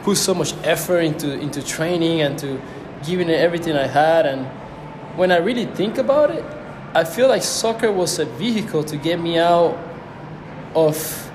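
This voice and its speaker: A man's voice: on the high side, expressive, moderate pace. Reflective young man, roomy noisy recording. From Cuba. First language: Spanish. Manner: reflective, searching for words. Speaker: guest. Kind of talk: casual conversation. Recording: roomy with background noise.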